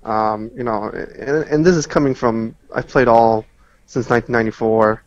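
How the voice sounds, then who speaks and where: A man chatting casually as a podcast guest, explaining things in a measured, hesitant way. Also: mid-range voice, steady tone, muffled recording. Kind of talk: casual chat.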